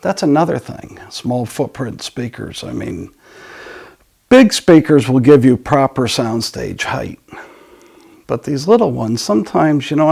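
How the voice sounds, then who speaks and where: A man hosting a casual audio talk, enthusiastic but halting, often trailing off and picking the thought up again. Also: on the high side, vocal fry, a little mellow. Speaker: audio dealer and show host. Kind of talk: casual product and music talk.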